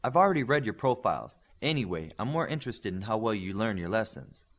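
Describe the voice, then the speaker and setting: A man's voice: on the low side, big pitch swings, fast talker. A man voicing a poised young female teacher in audiobook dialogue, formal, precise and self-assured. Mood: poised, polite.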